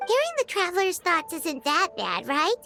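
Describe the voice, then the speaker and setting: A woman voicing a tiny, childlike female game companion, blunt and naive, with a squeaky delivery. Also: wildly swooping pitch, moderate pace, gravelly, pushed and bright, exaggerated voice. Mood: chirpy, curious. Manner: refers to self in third person, squeaky. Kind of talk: video game voice acting. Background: music.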